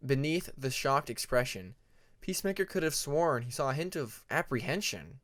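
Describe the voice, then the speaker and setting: A man narrating a fantasy story as an audiobook, with expressive scripted delivery. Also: medium pitch, moderate pace, no room sound. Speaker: audiobook narrator of fan fiction.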